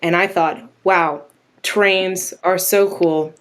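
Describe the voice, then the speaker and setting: Lower-voiced woman, chatty and enthusiastic. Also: steady tone, slow. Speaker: radio drama writer, interview guest. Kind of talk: casual interview storytelling.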